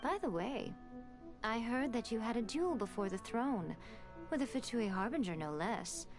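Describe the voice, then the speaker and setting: Coy fox shrine priestess, kinda high, teasing and amused, game music under it. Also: female voice, animated, moderate pace. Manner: coy, amused, lightly mocking. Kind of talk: game voice acting.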